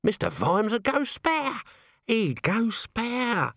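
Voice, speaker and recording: A man's voice: on the high side, big pitch swings, a little slow, a bit bright, dry recording, studio quality, voice pushed up. A man voicing a scruffy, dim, nervous corporal who talks in crude colloquial blurts, for a comic fantasy audiobook. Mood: alarmed. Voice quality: wheezy. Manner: colloquial, dropped standard grammar, blurting.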